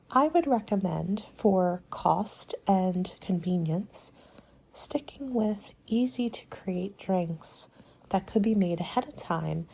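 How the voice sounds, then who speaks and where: A woman playing a courteous, helpful party planner in a soft-spoken ASMR roleplay, with a measured, polite, advisory manner and soft, breathy delivery. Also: mid-range voice, heavily acted. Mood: hushed, polite.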